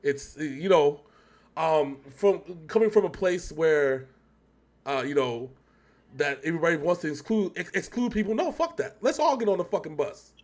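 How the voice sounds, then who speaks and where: A man's voice: fairly high pitch, expressive, moderate pace, darkish tone. A man co-hosting a reaction show, talking casually in a rambling, emphatic way with repetitions and free swearing. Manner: rambling, emphatic, repeats himself, swears freely. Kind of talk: casual music video reaction commentary.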